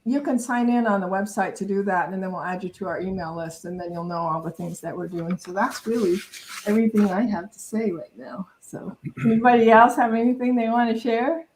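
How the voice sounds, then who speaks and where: A woman hosting an online service, giving casual announcements in an informal, halting way. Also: mid-range voice, darkish tone, slight background noise, rough recording. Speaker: service host.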